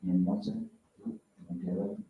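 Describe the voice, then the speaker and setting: A man leading a meeting discussion in casual talk. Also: fairly quick. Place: echoey meeting room, picked up from afar. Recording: roomy, distant, muffled audio with faint noise.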